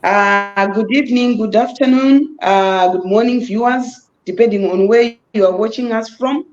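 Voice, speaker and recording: A woman speaks politely and at a measured pace. Her audio is dull and lo-fi, like a muffled call. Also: on the high side, a little mellow, faint music behind. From Zimbabwe. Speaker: nurse.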